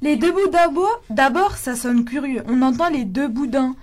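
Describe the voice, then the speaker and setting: A woman's voice: high voice, moderate pace, a little mellow, lightly acted. A woman playing a literal-minded, stubborn pianist in a comic radio sketch.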